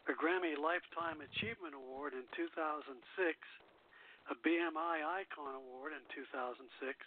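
A man hosting a radio show, reading biographical narration haltingly, with casual asides. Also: fairly high pitch. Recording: phone-like internet radio line.

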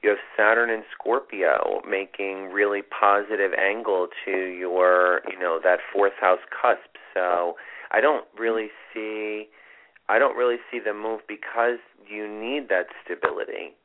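A man's voice: fairly low pitch, steady tone, measured pace. A man hosting a call-in astrology show on the radio, giving readings in a reassuring, conversational way. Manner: reassuring, conversational, says "you know" often. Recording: tinny phone-quality radio broadcast.

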